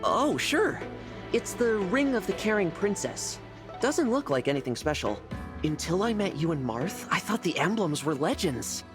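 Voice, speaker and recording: A bouncy, lively young prince. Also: male voice, high voice, moderate pace, over music. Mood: cheerful, friendly. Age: young adult. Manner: chatty, polite, upbeat. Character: friendly, buoyant, kindhearted young prince. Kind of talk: scripted video game voice acting.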